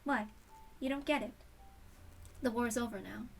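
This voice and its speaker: A woman's voice: fairly high pitch, measured pace, vocal fry, far from the mic, soft background music. A woman voicing a brash, confident, teasing mother in a story read-aloud. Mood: matter-of-fact.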